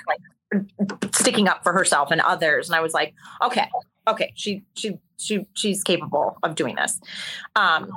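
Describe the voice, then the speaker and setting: A woman with a slightly low, bright and forceful voice tells stories as a guest in an interview. She is candid and expressive, with a bit of wry exaggeration in a loose conversational style. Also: poor audio. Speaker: interview guest, musical theater performer.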